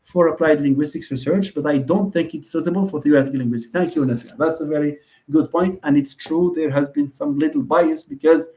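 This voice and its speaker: Man, Moroccan accent, native Moroccan Arabic speaker, lecturer, warm dark tone, hesitant, fills pauses, asks and answers himself. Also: on the high side, steady tone. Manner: hesitant, with frequent fillers and restarts, asks and answers his own questions. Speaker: university lecturer. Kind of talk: answering students' questions in a live online session.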